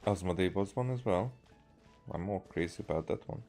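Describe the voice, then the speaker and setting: Halting male game streamer from Hungary. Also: low voice, warm tone, faint music behind. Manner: halting, repeating words as he thinks. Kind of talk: casual playthrough commentary.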